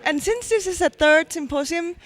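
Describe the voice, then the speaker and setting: High-voiced woman interviewer, polite. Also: expressive, normal speed, fairly bright tone, a faint crowd. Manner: polite, scripted questions. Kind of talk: conference interview.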